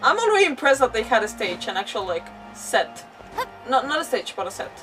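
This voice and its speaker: Chatty, high-voiced woman game streamer. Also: fairly quick, far from the mic, poor audio. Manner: rambling, animated asides. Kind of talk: casual chatty livestream commentary. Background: video game music.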